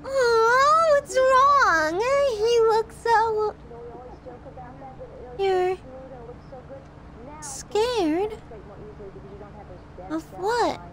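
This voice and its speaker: A woman's voice: extremely high pitch, animated, extremely slow, pauses often, pushed and bright, heavily acted. A woman playing a sadistic, possessive yandere in an ASMR horror roleplay, theatrical and sighing, shifting between sweetness and scorn. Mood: mocking sweetness.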